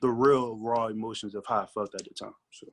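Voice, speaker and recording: A man's voice: fairly low pitch, fairly even intonation, brisk. A man talking casually and conversationally in slang as a guest recording artist in an interview.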